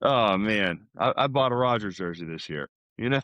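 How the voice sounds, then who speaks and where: An American man in middle age talks casually on a podcast. His voice sits on the low side and the sound is dry, with little room in it. He speaks informally, like a buddy catching up. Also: lively intonation, moderate pace, fairly bright tone, dry recording, dull audio. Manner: informal, buddy-like, peppers talk with "man" and "dude".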